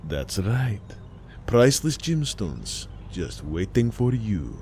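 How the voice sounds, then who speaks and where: A man's voice: fairly low pitch, sing-song, slow, dark tone, slight background noise, full character voice. A man voicing a coaxing immigrant salvage boss in an audio story, dramatic and drawn out in his emphasis. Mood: smug. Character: wheedling, gold-toothed salvage boss, male immigrant sailor.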